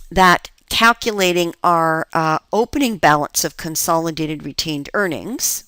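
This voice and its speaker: A woman with a fairly low voice teaches in an instructional lecture style. She explains worked examples step by step, stressing key points and checking in with rhetorical questions. The sound is close and dry, with very little room on it. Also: fairly bright tone, dry recording. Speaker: accounting instructor.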